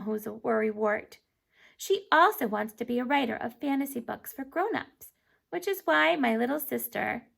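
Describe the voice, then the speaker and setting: A woman reading a children's chapter book aloud in an animated, emphatic way, stumbling over words now and then. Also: high-pitched, creaky. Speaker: storybook reader.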